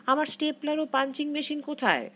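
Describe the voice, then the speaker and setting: A woman's voice: high voice, lively intonation, fairly quick, a bit bright, slight background noise, pitched above the performer's own voice. A woman reading the part of a curt, irritable middle-aged businessman husband in a short story. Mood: irritated. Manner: curt, demanding.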